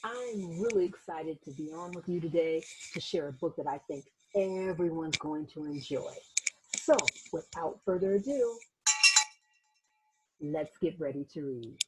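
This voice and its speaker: A woman reading a children's picture book aloud as host, in an expressive storytelling manner with little asides to young listeners. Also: sits low, unhurried, heavy background noise.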